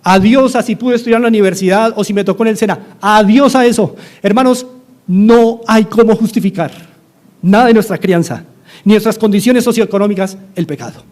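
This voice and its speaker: High-voiced Colombian preacher. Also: male voice, a little fast, a little mellow. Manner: earnest, repetitive exhortation. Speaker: pastor. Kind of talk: sermon. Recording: studio-like radio sermon.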